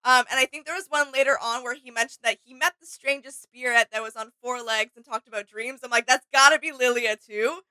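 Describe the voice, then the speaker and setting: Higher, bright-voiced woman in casual chat. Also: a little fast, few pauses, dry recording, rough recording. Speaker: reaction channel co-host. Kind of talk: casual chat, discussing game character lore.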